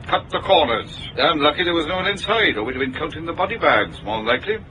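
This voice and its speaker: An actor with a British accent playing a blunt, world-weary fire officer in a radio drama. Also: male voice, fairly high pitch, lively intonation, fast, bright, forceful tone, a little hiss or hum, rough recording. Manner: blunt, matter-of-fact.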